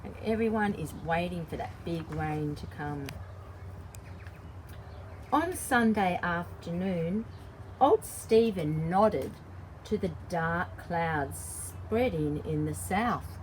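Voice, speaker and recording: A woman's voice: lowish voice, lively intonation, slow pace, a little hiss or hum, poor audio. A woman reading a picture book aloud to young children, adding simple explanations and drawing out key words. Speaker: children's story time host and early childhood educator.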